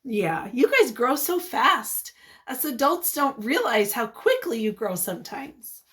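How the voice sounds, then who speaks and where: A woman reading a picture book aloud as a teacher, expressive and encouraging, pausing to put questions to young listeners. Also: fairly high pitch.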